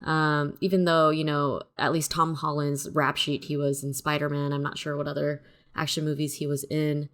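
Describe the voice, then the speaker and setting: A woman's voice: low-pitched, level tone, moderate pace. A woman co-hosting a casual film review chat, giving her opinions in a tentative way. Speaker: review show co-host.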